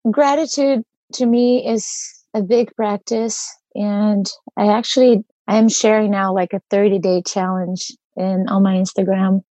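Mellow-voiced Brazilian woman, earnest. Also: medium pitch, steady tone, measured pace, few pauses, dry recording. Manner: earnest, searching for words. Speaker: guest. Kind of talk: reflective personal interview.

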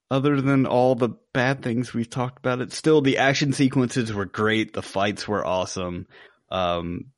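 A man with a fairly low voice talks casually as a podcast co-host reviewing a film. He retells moments in an animated way, voicing reactions as he goes. The sound is very dry, with no room on it. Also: dry recording.

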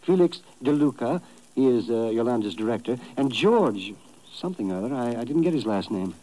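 Man playing an anxious, hesitant gentleman, animated pitch. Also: fairly quick, lightly acted, low-quality recording. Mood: earnest, cautious. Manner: hesitant, stammering, formal. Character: anxious, polite immigrant businessman, victim of extortion. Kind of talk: 1950s radio drama acting.

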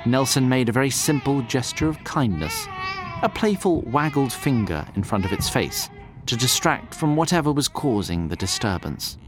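A man narrating a scripted fantasy tale in a theatrical, deliberate and wry manner. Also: medium pitch, sing-song, over music.

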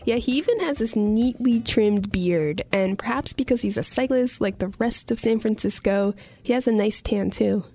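A woman co-hosts a podcast, reading scripted narration in an even, conversational way. Faint music plays underneath her voice. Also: a little high, normal speed, few pauses, no echo. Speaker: podcast co-host.

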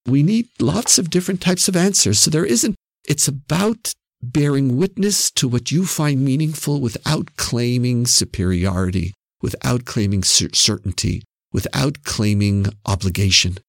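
Gravelly, animated Canadian man explaining emphatically. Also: mid-range voice, normal speed, hardly any pauses, no room sound, clean studio sound. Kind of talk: reflective, explanatory conversation on religion.

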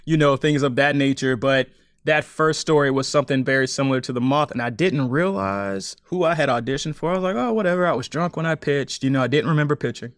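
A man who is a stage storyteller, talking casually in an interview, animated and often laughing as he acts out his own remarks. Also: mid-range voice, quick pace, no room sound, studio quality. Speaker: storyteller and podcaster, guest.

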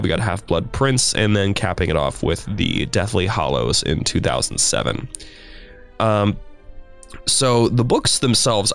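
A man hosting a casual podcast, talking with a stuffy nose from a cold and often repeating words as he starts a sentence. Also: deep voice. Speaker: podcast host.

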